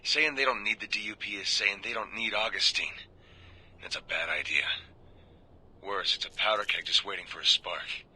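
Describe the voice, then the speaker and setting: An actor playing a grim, guilt-ridden military agent who confides in a video game audio log, grave and weary. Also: male voice, on the low side, normal speed, bright, forceful tone, breathy edge, exaggerated voice. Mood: grim warning. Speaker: soldier turned government agent.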